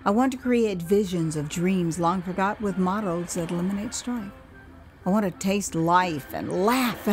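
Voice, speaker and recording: Female American storyteller, mid-pitched, theatrical and expressive, narrating a memoir audio drama. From United States, Houston. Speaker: author and storyteller.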